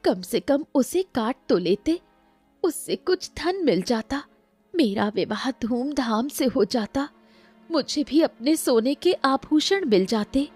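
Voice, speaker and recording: Woman voicing a plaintive, high-pitched young sister. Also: expressive, takes it slowly, darkish tone, breathy edge, no echo, faint music behind, heavily acted. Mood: tearful complaint. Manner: plaintive, accusing. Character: tearful, reproachful young sister of the farmer. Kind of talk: melodramatic cartoon story acting.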